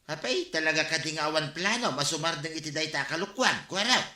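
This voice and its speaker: A man's voice: on the high side, animated, fairly quick, sharp tone. An actor playing an indignant male neighbor filing a complaint in a radio comedy drama. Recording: echoey room with music behind.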